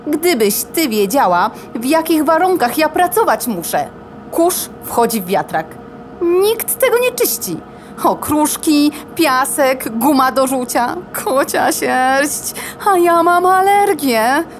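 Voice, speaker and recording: A woman's voice: high voice, very animated, takes it slowly, a little hiss or hum, full character voice. A woman playing a stressed, fussy computer cooling fan in a children's radio play, agitated and grumbling. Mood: complaining.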